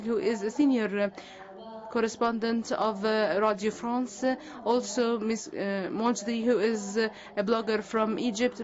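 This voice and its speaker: A woman's voice: a little high, dull audio. Hesitant woman interpreting a conference panel. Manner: halting, many hesitations and self-corrections.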